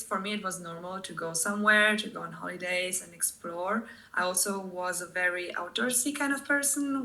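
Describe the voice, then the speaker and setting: A woman's voice: medium pitch, bright, forceful tone, rough recording. A woman from Germany, a native German speaker with a German accent, answering conversationally as a podcast guest.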